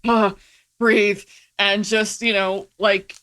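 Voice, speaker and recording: A woman's voice: mid-range voice, flat delivery, takes it slowly, fairly bright tone. A woman talking casually and candidly as a podcast guest, telling informal stories and acting out bits of past conversations. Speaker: podcast guest, creative filmmaker.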